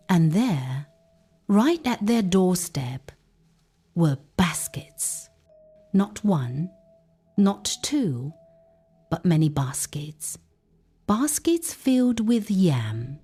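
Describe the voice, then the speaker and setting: A woman tells a folktale in a dramatic, emphatic way. Her voice is mellow and swings widely in pitch, and she takes her time over each phrase. Soft music plays behind her. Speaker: storyteller.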